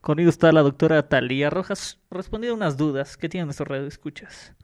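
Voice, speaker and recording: A man's voice: on the high side, brisk. A young man hosting a podcast in a radio-show manner, reading out listener questions. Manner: upbeat, reads listener questions aloud. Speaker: podcast host. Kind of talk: scripted radio-style hosting.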